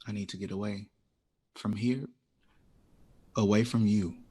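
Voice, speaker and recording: Wounded young jazz composer. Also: male voice, lowish voice, steady tone, a little slow, mellow, breathy edge. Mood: quiet, resigned. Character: hurt, resentful young jazz composer breaking with his mentor. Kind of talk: audio drama acting.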